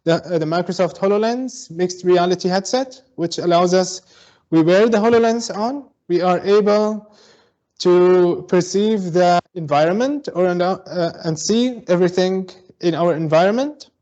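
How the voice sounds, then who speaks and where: A man from Syria, a guest advocate for mixed reality, explaining step by step with many pauses and fillers over a video call. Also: sits high, darkish tone, muffled recording. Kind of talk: explanatory online talk. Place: home in Berlin.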